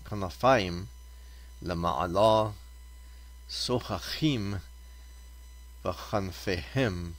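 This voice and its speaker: Deep-voiced man reading scripture aloud. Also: fairly bright tone. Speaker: Torah reader.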